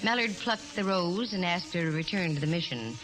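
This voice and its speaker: A woman's voice: fairly low pitch, lively intonation, lightly acted, rough recording. Wry actress as a 1940s radio lady detective. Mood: wry narration. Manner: wry, slangy, brisk. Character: wise-cracking, confident female private eye narrating her case. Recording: old 1940s radio recording, music behind.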